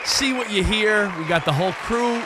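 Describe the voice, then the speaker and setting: A man's voice: high-pitched, normal speed, a loud crowd, heavy background noise. A man co-hosting a sports radio show, bantering playfully and throwing out guesses at his partner.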